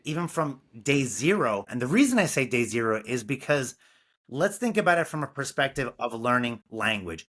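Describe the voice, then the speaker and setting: A man's voice: medium pitch, pushed and bright. A man from Brooklyn, a music curriculum founder talking earnestly and animatedly as a podcast guest. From Brooklyn, New York. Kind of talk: casual interview talk.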